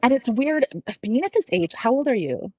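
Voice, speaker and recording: A woman's voice: on the high side, big pitch swings, normal speed, warm tone, no room sound, clean studio sound. A woman in her forties chatting informally and in a self-deprecating way as a podcast guest. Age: 40s. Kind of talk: casual conversational interview.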